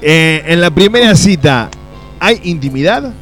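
A man hosting a radio interview with a Rioplatense Spanish accent, joking and teasing his guest, often dropping into low asides. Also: expressive, a little slow, fairly bright tone. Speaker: radio host. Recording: music playing behind the voice.

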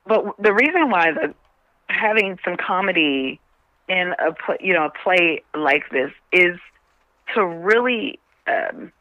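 A woman's voice: lowish voice, pushed and bright, dry recording, rough recording. A woman from Baltimore, a comedian being interviewed, talking conversationally in an earnest, emphatic way. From Baltimore, Maryland, USA.

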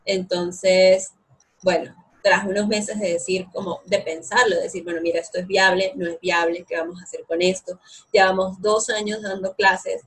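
A woman's voice: on the low side, flat delivery, normal speed, husky. A young woman, talking about her academy in an interview, enthusiastic and full of fillers. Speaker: guest, co-founder of an online English academy. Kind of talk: conversational interview.

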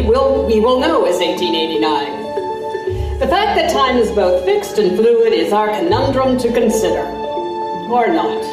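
A woman playing an intrepid, wry newspaper reporter, narrating an audio drama in a measured, formal way. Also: medium pitch, close to an everyday voice. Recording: roomy, distant voice over music.

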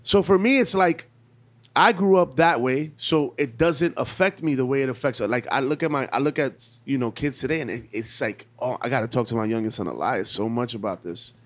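A man's voice: mid-range voice, brisk. A man in his late thirties talking bluntly in a casual podcast chat, swearing freely and hammering his points home. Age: 30s. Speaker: podcast co-host.

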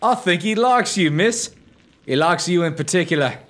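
A man's voice: high-pitched, lively intonation, moderate pace, fairly bright tone. A man playing a wily suitor posing as a humble old sailor showman, folksy and ingratiating, in an audio comedy. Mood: wheedling, showy. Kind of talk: comic audio drama acting.

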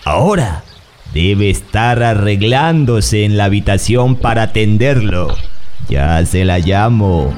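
A man's voice: fairly low pitch, animated, slow pace, a little mellow. An actor playing a hospitable country father, eager to please, in a radio drama. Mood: delighted, accommodating.

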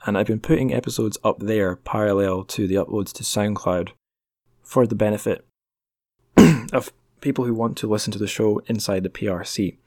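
A man's voice: lowish voice, moderate pace, a little mellow, no echo, clean studio sound. A man hosting a podcast, chatting casually in a rambling, jokey, self-deprecating way. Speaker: podcast host. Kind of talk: casual solo podcast outro, chatty plugs for the show.

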